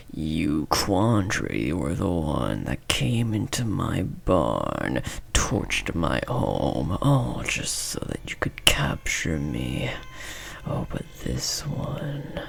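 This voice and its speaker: A man voicing a possessive insectoid parasite, a doting yet menacing lover, in a breathy, gravelly whisper with slow, theatrical crooning for an audio horror roleplay. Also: sits low, heavily acted. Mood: indignant.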